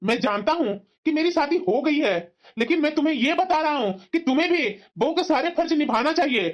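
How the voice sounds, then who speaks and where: A woman's voice: high voice, animated, a little fast. Muffled, exasperated young husband, voiced by a woman. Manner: reproachful, reasoning. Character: young married man, dutiful son, exasperated, scolding. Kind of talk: cartoon family drama dialogue. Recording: dull, muffled lo-fi sound.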